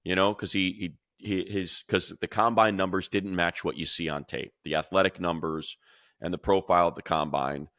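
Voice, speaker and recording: Middle-aged male announcer type: deep, bursts into animated, excitable analysis. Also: normal speed, dry recording, clean studio sound. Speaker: radio play-by-play announcer and co-host. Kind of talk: casual sports chat.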